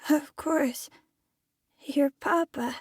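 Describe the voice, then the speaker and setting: A woman's voice: sits high, drawn-out words, no echo, clean studio sound. A woman voicing a frail, confused young boy in a video game, faltering and timid. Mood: confused, tearful. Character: frail, confused young boy, son seeking his parents.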